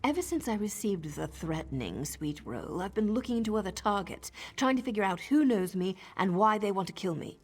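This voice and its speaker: A husky, cool female elf assassin from a video game, her voice breathy and often sinking to a half-whisper. Her pitch moves expressively through dry, confident lines. Faint game music plays in the background. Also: very animated, a little fast, hardly any pauses. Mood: hushed, suspicious.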